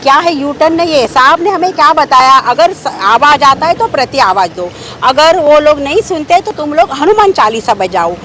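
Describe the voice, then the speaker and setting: Marathi accent lady, high voice, emphatic but halting interview answer, bit of street noise. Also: animated, sharp tone. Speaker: political party worker. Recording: outdoor street noise, passing vehicles.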